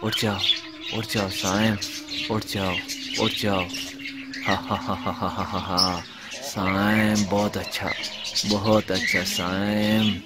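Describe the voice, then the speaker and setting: A man playing a sly male devil who tempts with a low, coaxing murmur, repeating his urgings in an amateur moral-story video. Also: flat delivery, slow pace, very noisy.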